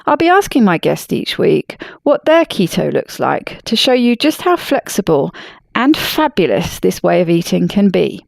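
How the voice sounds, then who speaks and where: An upbeat, friendly woman hosts a podcast, reading her intro and chatting easily. Her voice has a gravelly fry at its edges. The sound is dry and close, with no room around it. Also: on the low side, very animated, normal speed, dry recording. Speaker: podcast host. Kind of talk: scripted intro, then casual interview chat.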